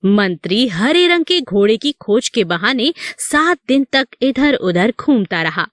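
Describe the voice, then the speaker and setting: A woman narrator reading a children's story. Her delivery is unhurried with lots of melodic movement, and the recording is dry. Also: fairly high pitch, very animated, no room sound.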